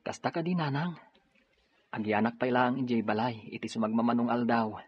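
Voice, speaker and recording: An actor plays a dutiful, reassuring young Ilocano policeman in a drama. His voice is husky and medium in pitch. The recording sounds slightly dull and lo-fi. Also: fairly even intonation, a little fast, no room sound, lightly acted. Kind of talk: Ilocano radio-style drama acting.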